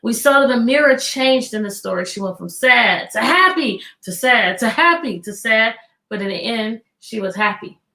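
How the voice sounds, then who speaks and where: A woman with a somewhat high voice teaches through a picture-book read-aloud. She is expressive and deliberate, and she speaks directly to young listeners to prompt them. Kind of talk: children's read-aloud with questions.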